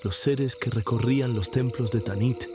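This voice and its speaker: A man's voice: mid-range voice, normal speed, over music, lightly acted. A man playing a disdainful, impatient artist with an occult obsession in an audio horror story. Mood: scornful, impatient. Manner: disdainful, sarcastic.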